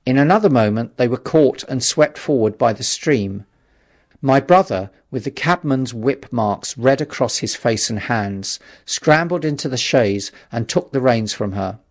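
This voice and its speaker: A man's voice: mid-range voice, animated, a little slow. Man narrating a classic novel. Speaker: audiobook narrator.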